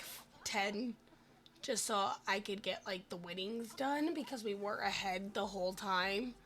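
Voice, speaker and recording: Woman, raspy cracking voice, fry-heavy, forceful edge, self-deprecating, stumbling casual gameplay commentary. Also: on the high side. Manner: rambling, self-deprecating, stumbles over words. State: hoarse voice. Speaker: gaming video host.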